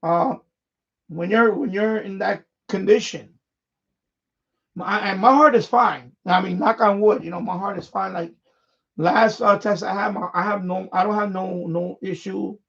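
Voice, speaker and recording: Male streamer, high voice, unscripted and repetitive halting delivery, slightly muffled low-fidelity audio. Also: fairly even intonation, gravelly, fairly bright tone. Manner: halting, repeats words and phrases often. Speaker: live stream host. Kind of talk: casual unscripted live-stream chat. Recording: dull, lo-fi microphone sound.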